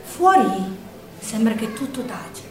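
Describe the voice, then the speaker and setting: A shaken young woman partisan in a wartime theatre monologue, heard in a roomy, echoing space a little way from the microphone. Her high voice has a Roman accent and moves between hushed and urgent, with weighted pauses. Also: sing-song. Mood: dazed, grave. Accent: Roman Italian. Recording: roomy echo, distant microphone.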